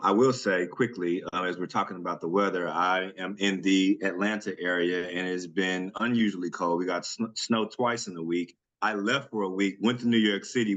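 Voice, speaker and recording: A man presenting a nonprofit webinar over a video call, talking in a relaxed, chatty way with frequent ums and restarts. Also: low-pitched. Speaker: senior director presenting a webinar. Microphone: computer mic on a video call.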